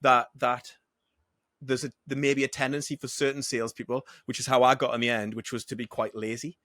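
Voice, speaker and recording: A man co-hosting a podcast, reflecting on his own past sales work in a relaxed anecdotal way. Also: medium pitch, fairly quick, no room sound, clean studio sound. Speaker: podcast co-host. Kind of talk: casual podcast conversation.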